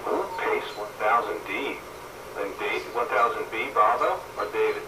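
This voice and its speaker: A man's voice: lowish voice, expressive, moderate pace. A man chatting casually over two-way radio as host of an on-air roundup. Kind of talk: casual ham and CB radio chat. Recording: noisy, echoey CB radio transmission, distant.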